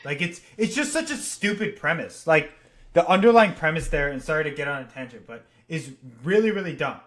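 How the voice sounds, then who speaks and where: A man's voice: fairly high pitch, animated, a little fast. A man who grew up partly in Japan, co-hosting a podcast and giving blunt, opinionated views in casual chat. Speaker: podcast co-host. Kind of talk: casual discussion.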